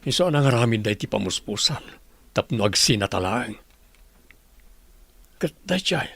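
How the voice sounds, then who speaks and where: A remorseful husband in a radio drama, pleading earnestly. His voice is creaky and rough, of lowish-middle register, with an Ilocano accent. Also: sing-song, a little slow, fairly bright tone, no room sound, heavily acted.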